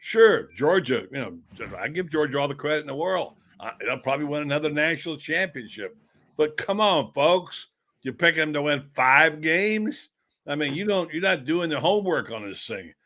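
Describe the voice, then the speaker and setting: Male sports pundit, gravelly and sharp-toned, fired-up ranting delivery. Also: fairly high pitch, animated, moderate pace. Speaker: sports radio panelist. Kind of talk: heated sports talk.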